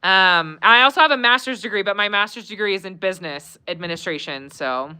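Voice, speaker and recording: Bright, clear-voiced chatty woman vlogger. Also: medium pitch, brisk, low-quality recording. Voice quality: warm, conversational, many fillers. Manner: chatty, laughs often, reads viewer comments aloud. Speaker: vlogger hosting a live Q&A. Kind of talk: casual live-stream chat.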